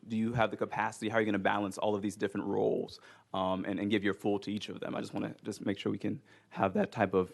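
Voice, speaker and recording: A man's voice: on the low side, creaky. A male legislator questioning a nominee at a hearing, polite, with lots of ums. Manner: polite, many ums, rapid.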